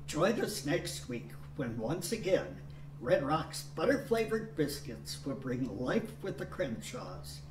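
An older man in a bow tie announcing an old-time-style radio show in a measured tone. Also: fairly high pitch, sing-song. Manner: slow, measured announcer delivery. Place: studio room with a dark backdrop. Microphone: stand mic on a tripod. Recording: roomy, distant, with background hiss.

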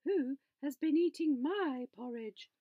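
A woman voicing a stern mother bear who speaks loudly and indignantly. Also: high voice, lively intonation, slow pace, dry recording, narrow, tinny audio, pitched above the performer's own voice.

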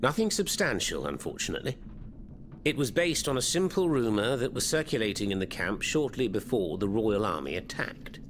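An actor playing a fervent, florid French revolutionary in a video game, speaking with a French accent. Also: male voice, fairly low pitch, very animated, normal speed, slight background noise. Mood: conspiratorial. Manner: theatrical, florid, eloquent. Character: theatrical, fervent male French revolutionary hiding underground. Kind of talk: video game cutscene acting.

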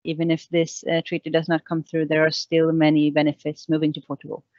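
A woman's voice: deep voice, completely flat delivery, fairly quick, a little mellow, no room sound. A woman in her thirties moderating a webinar, polite and handing over to speakers, on a headset. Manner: polite, with fillers. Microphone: headset on video call. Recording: dry, dull headset audio.

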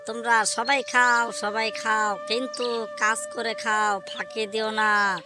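A woman voicing a scrappy, excitable fox in an animal cartoon fable, with expressive, exclamatory delivery. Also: a little high, slow pace, over music. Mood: eager, coaxing. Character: clever poor fox couple, scrappy and excitable.

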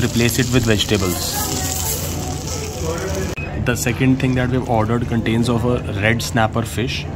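A man hosting a food vlog, explaining the calories of dishes plainly as he films them in a restaurant. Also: medium pitch. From India. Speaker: food vlogger. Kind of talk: casual food review narration. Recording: restaurant background noise.

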